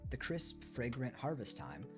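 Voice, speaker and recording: A man reading a memoir aloud on a stream in a measured, careful storytelling delivery. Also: medium pitch, sing-song. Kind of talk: live read-aloud of a memoir, with casual asides. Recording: music behind the voice. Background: guitar music.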